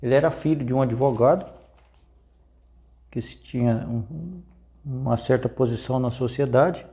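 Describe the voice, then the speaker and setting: A man's voice: medium pitch, normal speed, warm tone. A man with a Brazilian accent presenting history in informal, unscripted storytelling, conversational and often checking in with tag questions.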